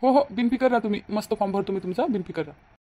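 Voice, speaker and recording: Woman as a fast-talking sly young con man. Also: mid-range voice, warm tone, close to an everyday voice. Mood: reassuring. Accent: rural Vidarbha Marathi. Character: sly young male con man, persuasive, falsely reassuring. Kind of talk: Marathi cartoon moral-story dialogue.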